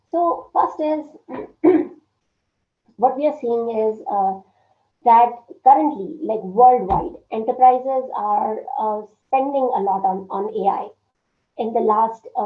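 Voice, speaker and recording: A woman giving an online workshop presentation with a strained, hoarse voice, speaking haltingly with many fillers and frequent throat clearing. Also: on the high side. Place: indoor room at home. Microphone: laptop webcam on a video call. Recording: phone-like video call audio, roomy echo.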